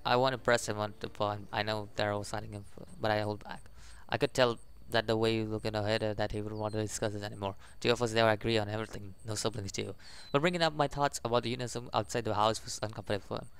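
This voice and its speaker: Young man reading game text haltingly. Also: lowish voice, steady tone, creaky, clear, resonant tone. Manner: halting reading, stumbles over words. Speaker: gaming YouTuber. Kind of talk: casual let's play, reading game text aloud with commentary.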